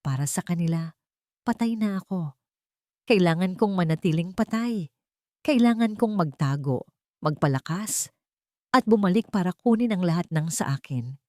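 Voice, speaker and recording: A woman narrator plays a betrayed wife in an audio drama story, resolute and set on revenge. She speaks firmly in a low, dry-recorded voice. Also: expressive, normal speed, pauses often, warm tone, no room sound, close to an everyday voice. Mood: cold resolve. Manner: firm, determined.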